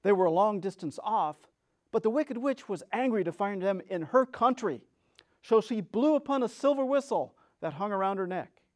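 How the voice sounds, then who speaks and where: A man with a notably high voice reads a children's story aloud. His delivery is theatrical and expressive, that of a storyteller giving a dramatic reading. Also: moderate pace. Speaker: storyteller, reader.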